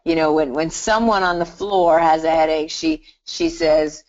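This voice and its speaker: Low-voiced woman, chatty hypnotherapy teacher. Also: steady tone, moderate pace, lo-fi sound. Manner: chatty storyteller, many restarts and "you know". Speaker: hypnotherapy instructor. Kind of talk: live online class Q&A.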